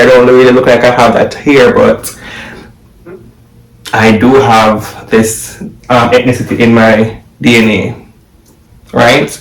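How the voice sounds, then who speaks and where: A young man from Jamaica gives a casual video commentary, exclaiming as he goes. He talks at an unhurried pace. His voice bounces around a roomy home office, heard from some distance. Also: mid-range voice. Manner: excited, exclaiming, repeating figures. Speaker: vlogger. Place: home office room, indoors. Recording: echoey room, voice from afar.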